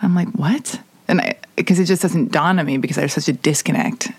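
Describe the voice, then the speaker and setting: This is a woman with a slightly low voice, recorded dry and close. She hosts a casual conversation in a candid, rambling manner, confiding and teasing as she goes. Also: fairly quick, darkish tone, breathy edge, dry recording. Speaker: podcast host interviewing a guest.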